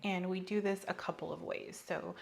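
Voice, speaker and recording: A soft-spoken woman playing a specialist doctor in an ASMR medical roleplay, explaining things politely and reassuringly and checking that the listener agrees. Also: lowish voice, steady tone, normal speed, gravelly. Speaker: ASMR host playing an endocrinologist.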